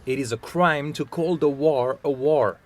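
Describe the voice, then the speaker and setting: Male news reporter, slightly high voice. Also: a little slow, a little hiss or hum. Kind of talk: scripted news narration.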